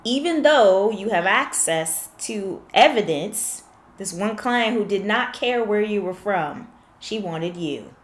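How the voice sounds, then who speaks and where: A woman's voice: medium pitch, animated, takes it slowly, roomy sound. A woman confidence coach in a one-on-one session, explaining with examples and asking probing questions.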